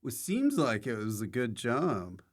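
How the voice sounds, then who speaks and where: A man with an American accent and a medium-pitched voice hosts a podcast. He chats casually, slipping joking asides in between his interview questions. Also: huge pitch swings, a little slow, slight background noise. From United States. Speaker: podcast host.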